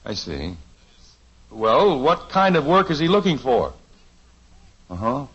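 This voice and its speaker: A man's voice: medium pitch, big pitch swings, slow pace, a bit bright, slightly rough, heavily acted. An actor playing a flustered, good-natured suburban father in a 1950s radio sitcom, hesitant and stalling with fillers, heard on a dull lo-fi old radio recording.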